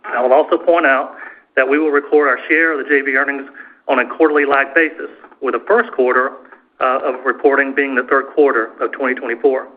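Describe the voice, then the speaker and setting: A man's voice: medium pitch, steady tone, fairly quick. A man, a finance executive, reading a scripted financial report on a corporate earnings call. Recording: tinny, narrow phone-line conference call.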